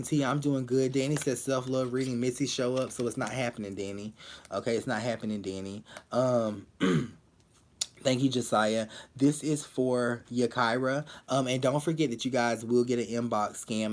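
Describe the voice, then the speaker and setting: A man giving quick live tarot readings to viewers, talking bluntly and repeating his points with many fillers. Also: mid-range voice. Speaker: tarot reader.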